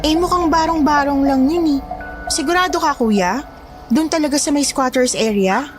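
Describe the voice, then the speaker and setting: Woman playing high-pitched, cheeky young female skeptic. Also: moderate pace, music in the background, close to an everyday voice. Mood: incredulous. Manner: blunt, incredulous, informal. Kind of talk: horror story audio drama acting.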